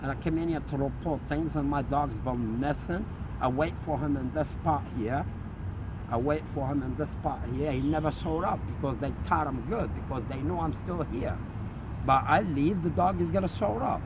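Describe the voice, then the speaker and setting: A middle-aged man from Morocco with a Moroccan accent, talking in an agitated, rambling, repetitive way to a street camera. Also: on the high side. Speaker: street interviewee. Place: city street outdoors. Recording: outdoor street with loud traffic noise.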